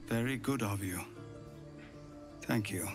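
An actor playing a weary, formal leader of refugees who thanks the hero. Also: male voice, lowish voice, takes it slowly, music in the background. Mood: grateful. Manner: earnest, formal, grateful.

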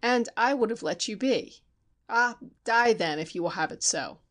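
A woman's voice: a little high, lively intonation, normal speed, a bit bright, voice pushed up. A woman voicing a heavy, cold, menacing older foreign man who speaks in clipped threats.

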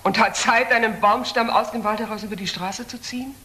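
An actress playing a middle-aged, self-assured country doctor in a German crime radio play, cool and matter-of-fact. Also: female voice, fairly high pitch, steady tone, fairly quick, bright, forceful tone. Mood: cool, challenging. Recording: roomy audio drama, faint noise.

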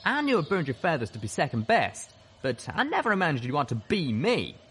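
A man's voice: medium pitch, very animated, fast, a little hiss or hum, voice pushed up, natural voice. Man voicing a wry, battle-worn gunslinger stallion. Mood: wry, rising anger.

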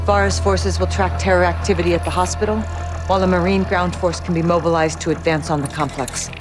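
An actor playing a crisp, official intelligence officer narrating a mission briefing in a game. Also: male voice, fairly high pitch, lightly acted.

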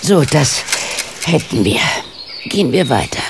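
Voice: mid-range voice, sing-song, a little slow, very noisy. A performer playing a dignified, stubborn amateur sleuth in a German radio play, speaking formally and tartly. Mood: determined, curious. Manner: formal, haughty, precise.

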